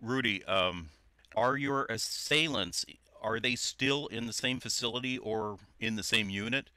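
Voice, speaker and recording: A man's voice: medium pitch, unhurried, bright, forceful tone, clear, resonant tone, no echo. A male interviewer asking questions in a blunt manner, with casual swearing.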